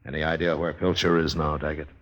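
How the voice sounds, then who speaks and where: An actor playing a level-headed Old West marshal in a 1950s radio drama, speaking in a measured, laconic, matter-of-fact way. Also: male voice, extremely low pitch, little pitch movement, fairly bright tone, dry recording. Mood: terse, watchful. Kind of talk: 1950s radio western acting and narration.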